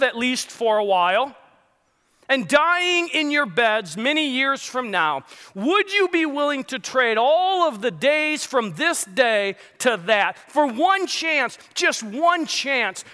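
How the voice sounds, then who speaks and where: A man voicing a rebel leader rallying his troops, speaking slowly and emphatically in his own voice. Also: very high voice, pushed and bright, smooth, long reverb tail, exaggerated voice. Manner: slow, emphatic speech delivery.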